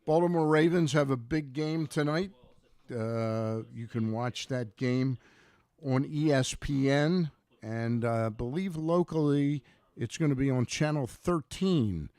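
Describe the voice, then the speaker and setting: Man, radio co-host, casual sports talk, halting and repetitive phrasing, close dry sound. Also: medium pitch, a little mellow, clean tone, no echo.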